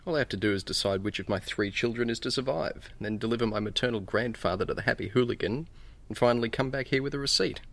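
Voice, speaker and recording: A man's voice: mid-range voice, fast, creaky, a bit bright. A man acting a bitter, sardonic, desperate new father in an audio drama, with dry sarcasm.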